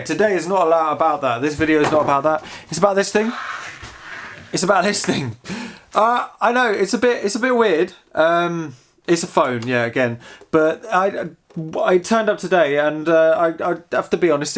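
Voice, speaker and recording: Higher-pitched man in casual, rambling show-and-tell. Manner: rambling, halting, stammering over repeated words; curious, easily amused enthusiasm. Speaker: host of a vintage technology channel.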